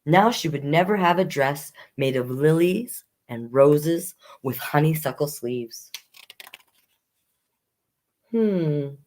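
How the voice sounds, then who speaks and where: A woman hosting a children's storytime, reading picture books aloud expressively and adding chatty asides. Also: low voice. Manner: expressive, unhurried, comments on the story as she reads.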